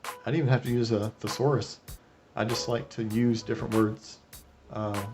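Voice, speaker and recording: A man's voice: fairly low pitch, level tone, normal speed. Sardonic man reviewing anime over music. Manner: sardonic, wry commentary. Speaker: host of an anime retrospective review. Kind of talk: narrated video review with crude joking asides.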